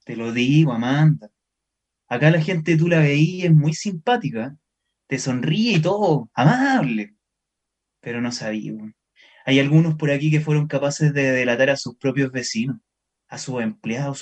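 A man's voice: medium pitch, normal speed, dull audio, lightly acted. A man acting a wary, somewhat insecure Chilean boyfriend in a naturalistic radio drama, talking in a colloquial, conversational way. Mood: distrustful, warning. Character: wary, distrustful local boyfriend named Manuel, insecure in love.

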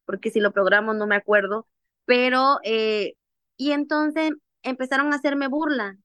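A young adult woman from Mexico's Costa Chica, with a Costa Chica accent, telling her own story in an interview in an animated way. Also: a little high, normal speed, clear, resonant tone, no echo. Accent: Costa Chica Mexican Spanish. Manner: animated, acts out remembered dialogue. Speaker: interview guest, activist. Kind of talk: personal storytelling in conversation.

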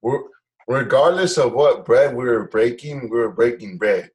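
A man's voice: mid-range voice, normal speed, darkish tone. A young man chatting casually with a friend as a podcast host, in a loose, slangy, affirming way. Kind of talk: casual chat between friends.

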